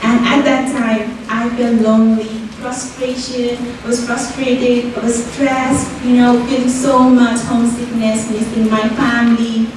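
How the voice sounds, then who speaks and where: Young Nepali-accented woman, fairly high voice, earnest student speech, picked up from a distance in a very echoey hall. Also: a little mellow, a little hiss or hum. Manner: earnest, fills with "like" and "you know". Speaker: student speaker. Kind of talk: prepared stage speech. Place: university event hall. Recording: roomy hall audio from far off.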